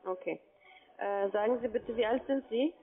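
A woman with a fairly high voice works as a telephone sales caller. She delivers her pitch over a thin, narrow-band phone line. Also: moderate pace.

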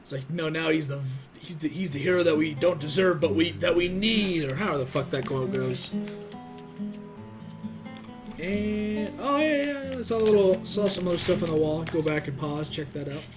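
A young American man reacts casually to a video with music playing behind him. His voice sits fairly high and swings widely as he jokes and swears, dropping into muttered asides. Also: moderate pace. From Arizona, United States. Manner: excitable, crude, joking, muttered asides. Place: small plain room indoors. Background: animated short soundtrack with music.

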